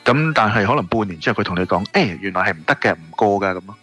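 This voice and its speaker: A man with a Hong Kong Cantonese accent explains things casually as an interview guest. His voice is fairly low yet bright and forward, with music faintly underneath. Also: animated, rapid-fire, slightly rough. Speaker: radio interview guest, shop owner. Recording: music playing behind the voice. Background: background music.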